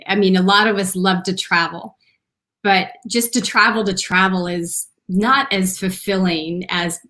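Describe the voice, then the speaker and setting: A woman's voice: mid-range voice, fairly bright tone. A woman hosting an interview, asking chatty, enthusiastic questions. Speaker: interview host. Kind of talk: conversational interview.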